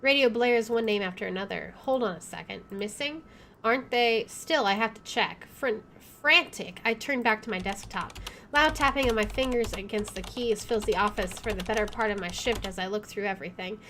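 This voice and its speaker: A woman's voice: mid-range voice, moderate pace, a bit bright, a little hiss or hum. A woman doing playthrough commentary and reading game text aloud, with a playful, wisecracking manner. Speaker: video game let's player.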